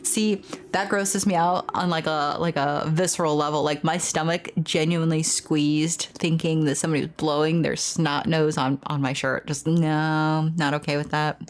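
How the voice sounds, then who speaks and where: Low-voiced woman, candid gaming commentary. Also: little pitch movement, moderate pace, hardly any pauses. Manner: candid, informal, slangy. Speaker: gaming video host. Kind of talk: casual let's play commentary with reading aloud of game dialogue. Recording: noticeable background noise.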